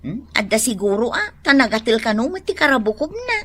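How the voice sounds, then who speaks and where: An actress playing an excitable village neighbour who exclaims animatedly in a radio drama. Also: female voice, fairly high pitch, brisk, slightly rough, full character voice. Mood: sheepish. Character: excitable village neighbour woman caught pilfering vegetables. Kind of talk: Filipino radio drama acting.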